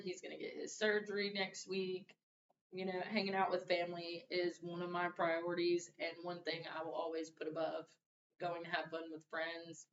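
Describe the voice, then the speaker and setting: Deep-voiced young woman, roomy. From Louisiana and Arkansas. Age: young adult. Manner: relaxed, conversational, with laughs. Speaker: student. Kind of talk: class introduction speech. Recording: roomy, distant, dull mic with faint hiss.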